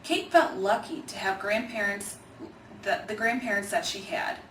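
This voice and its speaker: A woman reading a children's story aloud in an expressive, measured way, with emphasized phrases. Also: mid-range voice. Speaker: Alzheimer's organization staffer reading a children's book. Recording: echoey room, distant mic, faint noise.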